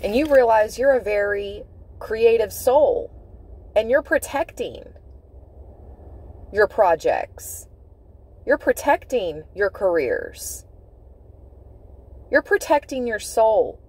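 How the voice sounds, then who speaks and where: A woman giving an intuitive tarot reading, speaking in deliberate, halting phrases with emphatic repetition. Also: on the high side, big pitch swings, a little mellow, slightly rough. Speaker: tarot reader. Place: inside a parked car.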